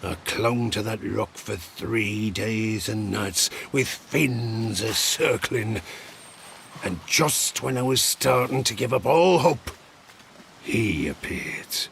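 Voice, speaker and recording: Gravelly old storyteller, swooping pitch. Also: male voice, fairly bright tone, a little hiss or hum, full character voice. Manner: theatrical, gruff, suspenseful storytelling with dramatic pauses. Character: grizzled old sailor telling children dark bedtime tales. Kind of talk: audio drama monologue.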